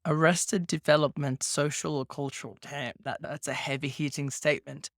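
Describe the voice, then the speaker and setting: A man's voice: fairly high pitch, steady tone, moderate pace, gravelly, fairly bright tone, dry recording, clean studio sound. A man hosting a podcast interview, talking through his ideas and building his points with rhetorical questions. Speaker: podcast host. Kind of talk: casual podcast conversation.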